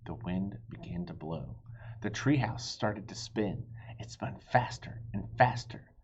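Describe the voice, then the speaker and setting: A man reading a children's story aloud as an expressive audiobook narrator. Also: lowish voice, a little slow, slight background noise. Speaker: audiobook reader. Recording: dull, lo-fi audio.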